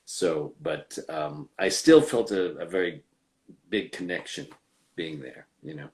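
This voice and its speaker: A man, a voice actor guest, talking casually with frequent pauses, fillers and restarts. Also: deep voice, animated, a little mellow. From United States. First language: English. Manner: hesitant, many fillers and restarts. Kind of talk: casual conversational interview.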